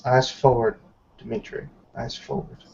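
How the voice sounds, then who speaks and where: A man voicing a stern, commanding old woman, a tribe elder, in formal measured speech for a tabletop roleplay. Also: mid-range voice, flat delivery, vocal fry, darkish tone, distant mic. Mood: quietly firm. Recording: dull, lo-fi online call.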